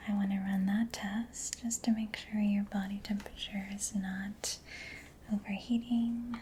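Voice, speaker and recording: A woman speaking in a breathy, mostly whispered voice, gentle, unhurried and reassuring, in a soft-spoken ASMR roleplay as a caring technician. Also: medium pitch, little pitch movement, background noise.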